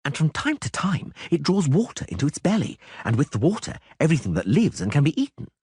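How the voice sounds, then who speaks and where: Brief: a man playing a restless gossiping bard, higher and jumpy. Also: breathy edge, no room sound, exaggerated voice. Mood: chatty. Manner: lively, quick and mocking. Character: chatty excitable male bard.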